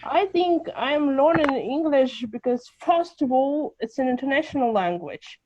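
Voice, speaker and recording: A Russian-accented woman introduces herself on a video call, pausing and restarting as she searches for words. Her voice sits a little high. The audio is dry and muffled, like a computer microphone. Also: lively intonation, darkish tone, no room sound. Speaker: English teacher.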